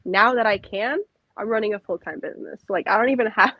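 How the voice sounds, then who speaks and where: A woman's voice: medium pitch, fast, no room sound. Chill young woman in her 20s, easygoing and laughs easily, sound is kinda muffled and dry. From USA. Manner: laid-back, relaxed, laughs easily. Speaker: guest. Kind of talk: casual chat.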